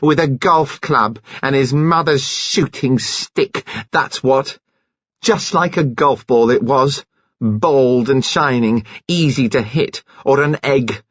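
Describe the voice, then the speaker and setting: A man's voice: medium pitch, expressive, normal speed, husky, dry recording, lo-fi sound, pitched above the performer's own voice. A man voicing a brazen, embittered, slangy woman in a rage, with scornful, emphatic delivery, as an audiobook character. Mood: wild rage.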